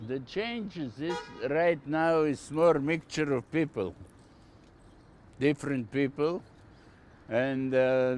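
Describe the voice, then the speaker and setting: An older man from Bulgaria with a Bulgarian accent, telling anecdotes casually and plainly as a shopkeeper being interviewed. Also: medium pitch. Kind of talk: casual oral storytelling.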